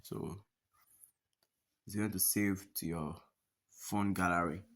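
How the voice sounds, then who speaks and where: A man's voice: sits low, fairly even intonation, takes it slowly, a bit bright. A man narrating a step-by-step app tutorial, often repeating his phrases and instructions. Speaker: tutorial host.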